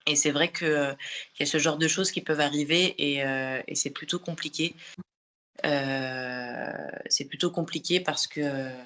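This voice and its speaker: A woman's voice: sits low, steady tone, normal speed, a bit bright. A woman from the Paris suburbs hosting a casual online group discussion, thoughtful and searching for her words as she goes, on a voice call from home. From France, Paris suburbs. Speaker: host.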